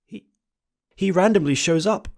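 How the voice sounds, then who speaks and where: A man voicing a haunted, unhinged young man in a horror story monologue, halting, stammering and repeating his words as he confesses on tape. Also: high-pitched, vocal fry, pitched above the performer's own voice. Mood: desperate, obsessed. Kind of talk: dramatic first-person horror monologue.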